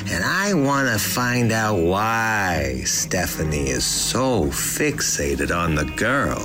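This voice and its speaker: An actor playing a scheming, cold-blooded 1930s gangster boss in an audio drama, deliberate, sardonic and menacing. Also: male voice, fairly low pitch. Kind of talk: period radio drama acting. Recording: music bed under the voice.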